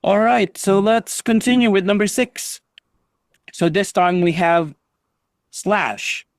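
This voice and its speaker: A man's voice: high voice, takes it slowly, no room sound, clean studio sound. A man teaching an online English class over a video call, patiently drilling students on pronunciation and encouraging them.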